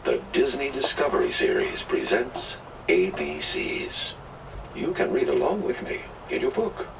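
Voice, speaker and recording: Swooping, slow-paced man narrating a children's record. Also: low voice, huge pitch swings, vocal fry, noisy background. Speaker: read-along record narrator. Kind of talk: scripted children's rhyming narration. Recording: old children's record played back, distant, music behind.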